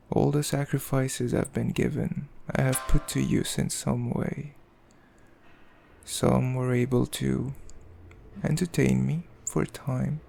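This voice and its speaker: A man playing a cruel, possessive demon king in an ASMR roleplay, speaking in a haughty, mocking, measured way with a gravelly voice. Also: medium pitch, warm tone, exaggerated voice. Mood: cold disdain. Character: cruel, possessive male demon king, coldly amused, condescending. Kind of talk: ASMR roleplay, slow intimate villain monologue.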